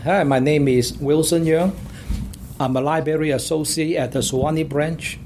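A man whose first language is Cantonese, a library associate speaking hesitantly with many pauses in a panel discussion. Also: fairly high pitch, fairly even intonation, darkish tone, a little hiss or hum.

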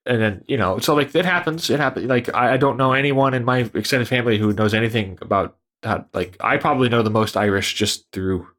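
A man's voice: medium pitch, fairly quick, hardly any pauses. A man chatting casually on a podcast, rambling and correcting himself as he goes. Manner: rambling, self-correcting, lively. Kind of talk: casual chat.

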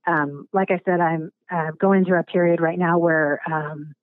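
A woman from Alaska, guest on an interview, talking casually with a raspy voice and many pauses and restarts. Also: fairly low pitch, steady tone, no room sound. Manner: halting, many ums and restarts. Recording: phone-line sound.

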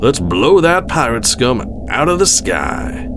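A man voicing a bold male fleet admiral shouting battle orders in a science-fiction story. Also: mid-range voice, very animated, noisy background, pitched above the performer's own voice. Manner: shouting, drawn-out commands.

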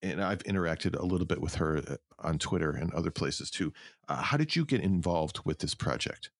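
A man with a very low voice hosts an interview in a casual, conversational style. The sound is close and dry, with hardly any room around him. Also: expressive, fairly quick, no echo. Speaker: interview host.

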